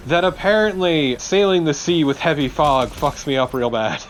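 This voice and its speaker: A man's voice: fairly high pitch, normal speed, slight background noise, poor audio. A man chatting casually and wryly as a co-commentator over gameplay. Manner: wry, sweary. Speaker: let's play co-commentator.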